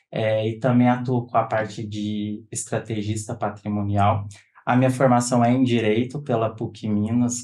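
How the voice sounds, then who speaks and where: A young adult man with a lowish voice speaks as a lawyer guest on a podcast. He sounds formal and earnest as he talks through his background. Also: darkish tone. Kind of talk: podcast interview.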